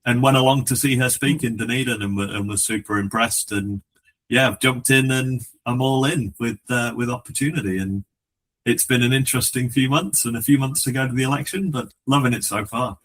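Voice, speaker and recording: A New man, a political candidate, telling his story in a relaxed, chatty way with some false starts. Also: medium pitch, fairly quick. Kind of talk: casual interview answer.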